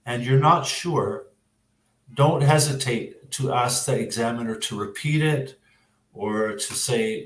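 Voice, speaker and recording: Canadian guy teaching english exam tips, really slow n measured, roomy echo. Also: medium pitch. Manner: measured, hesitant, pausing often. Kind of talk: explanatory interview answers. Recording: roomy, echoing sound.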